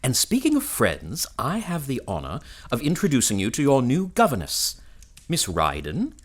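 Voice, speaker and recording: Jovial, swooping gentleman played by an actor. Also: mid-range voice, huge pitch swings, normal speed. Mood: teasing, genial. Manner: hearty, courtly, repeats himself. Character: jovial, effusive gentleman family friend. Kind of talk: period radio drama acting.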